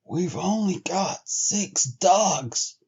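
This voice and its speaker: A stolid, doubting trail hand played by a male storyteller, pitched well up from a normal male register. He drawls in rustic, old-time speech and takes his time over every phrase, like a storybook frontiersman. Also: animated, drawn-out words, gravelly, a little mellow, husky, muffled recording, heavily acted. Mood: flatly doubtful. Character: slow, stolid, sceptical sled-dog driver, an adult man. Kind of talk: storybook character voice.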